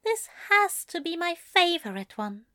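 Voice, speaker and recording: A woman's voice: very high-pitched, sing-song, a little slow, sharp tone, full character voice. A woman voicing a fussy, prim male angel bookseller in an audio fan fiction drama, with wry, precise phrasing. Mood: wry, drowsy.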